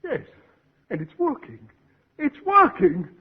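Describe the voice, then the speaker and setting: A man's voice: very high-pitched, wildly swooping pitch, full character voice. An actor playing a pompous, flowery-tongued con man in a 1940s radio comedy. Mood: grandly romantic. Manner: pompous, theatrical, drawn-out delivery. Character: pompous, flowery-tongued male pool room swindler. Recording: dull 1940s radio broadcast, faint noise.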